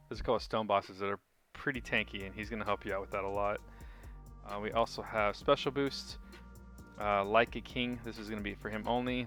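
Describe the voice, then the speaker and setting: A man with a lowish, bright, forward voice gives a casual game overview. He speaks off the cuff and halts to think aloud, with faint music behind him. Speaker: gaming video host.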